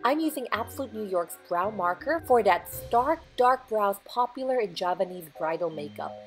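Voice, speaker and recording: Young woman, hoarse voice, makeup tutorial voiceover, chatty step-by-step instructions, mid pitch, faint music underneath. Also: animated, moderate pace. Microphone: close voiceover mic.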